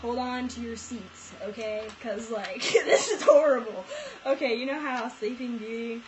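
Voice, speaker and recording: A young woman co-hosting casually, retelling fairy tales for comedy in an excitable, giggly, mock-outraged manner. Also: a little high, measured pace, a crowd in the background, rough recording. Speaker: vlog co-host.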